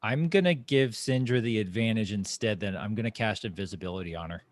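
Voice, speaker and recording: A man playing a tabletop game, asking the game master careful, deliberate rules questions. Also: on the low side. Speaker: player. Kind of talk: casual tabletop play.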